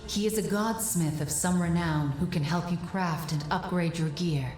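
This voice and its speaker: An actress playing a solemn, wise guide in a fantasy video game, with a measured, formal and authoritative delivery. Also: female voice, fairly low pitch, lightly acted. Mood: firm counsel. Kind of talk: dramatic video game acting. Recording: roomy echo, background music.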